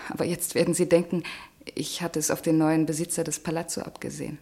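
An actress playing a poor young American woman taken for an heiress, speaking with composed, gently teasing wryness in a radio drama. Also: sits low, level tone, fast talker, vocal fry. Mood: wry. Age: young adult.

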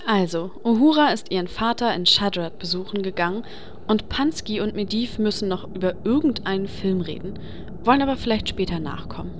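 A woman's voice: medium pitch, animated, a little fast, slight background noise, natural voice. A woman acting a chatty, vain, organizing adventurer in a comedic fantasy game audio drama. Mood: breezy, assertive.

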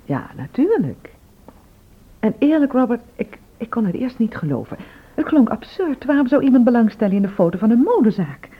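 Lively, frank woman on thin recording. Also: fairly high pitch, moderate pace, husky. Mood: frank, concerned. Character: frank, sharp-tongued woman shopkeeper, helpful but wry. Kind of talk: radio drama acting. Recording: thin phone-like old radio recording.

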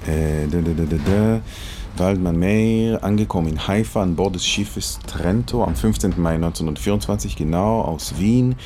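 Low-voiced, hesitant middle-aged man. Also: moderate pace, dark tone, slight background noise. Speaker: radio producer searching for relatives. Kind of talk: conversational documentary dialogue.

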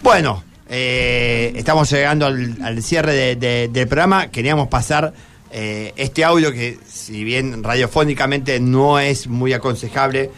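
A man's voice: medium pitch, a little slow, a bit bright. A man with a Rioplatense accent hosting a radio programme off the cuff, often repeating words as he goes. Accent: Rioplatense Argentine.

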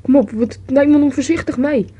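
A woman playing a whiny, excitable plane searcher in an amateur radio play. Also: high voice, a little fast, natural voice. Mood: excited, urgent. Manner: whiny, exclaiming.

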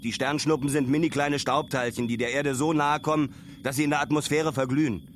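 A man's voice: fairly high pitch, steady tone, a little fast, a little hiss or hum, natural voice. An actor playing a reflective male traveller, telling his story colloquially and musingly in a radio play monologue.

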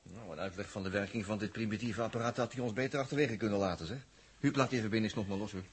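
An actor playing a cocky, crafty space agent, breezy and bossy, in a 1960s science-fiction radio drama. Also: male voice, fairly low pitch, rapid-fire, slight background noise, close to an everyday voice. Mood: scornful, breezy. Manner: breezy, scornful, coaxing.

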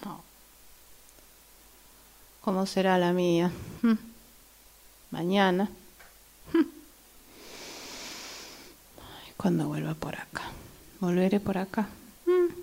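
A woman's voice: on the low side, lively intonation, slow, gravelly, pauses often, darkish tone, full character voice. A woman acting in amateur radio theatre as an exasperated, restless commuter waiting for a train. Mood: musing, sighing.

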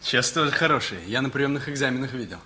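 An actor playing a teenage nephew and new student in a radio comedy, measured, bookish and ironically formal. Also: male voice, medium pitch, expressive, bright, forceful tone, a little hiss or hum. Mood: quietly firm. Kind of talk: Russian radio comedy play acting.

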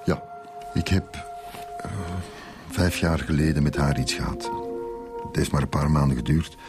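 An actor playing a troubled, middle-aged school principal in a radio drama, halting and subdued, with a Flemish accent. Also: male voice, rumbling low, music in the background. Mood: regretful. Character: troubled male school principal confessing, resigned and hesitant. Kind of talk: naturalistic radio drama acting.

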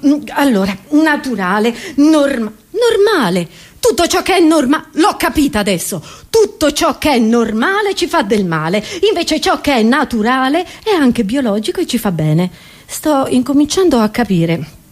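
A woman's voice: on the high side, darkish tone. A woman comedian delivering a theatrical stand-up monologue, acting confused and putting rhetorical questions to her listeners.

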